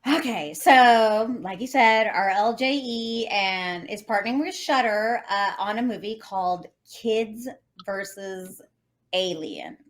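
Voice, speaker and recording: A woman's voice: medium pitch, takes it slowly. A woman co-hosting a horror news podcast and chatting casually with the other hosts. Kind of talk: casual chat.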